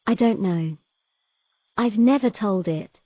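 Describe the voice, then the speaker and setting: A woman's voice: medium pitch, slow pace, no echo, dull audio. A woman playing a troubled, earnest young mermaid in a romantic fantasy audio drama. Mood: hesitant, pleading.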